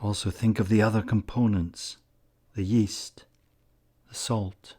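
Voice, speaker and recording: A man leading a guided meditation in a calm, measured way with frequent pauses, his husky voice softening at the ends of phrases. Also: on the low side. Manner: calm, measured, pausing often. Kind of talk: slow guided meditation narration.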